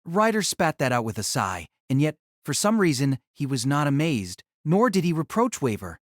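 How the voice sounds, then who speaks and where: Male narrator for fantasy audio drama, slightly high voice, scripted dramatic reading, dry close recording. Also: very animated, normal speed, no room sound.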